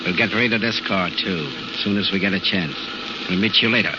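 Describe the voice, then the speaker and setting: Low-voiced tough gangster boss played by a man. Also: fairly quick, close to an everyday voice. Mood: hard, commanding. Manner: terse, commanding. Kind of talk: 1930s radio drama acting. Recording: scratchy, muffled 1930s radio recording.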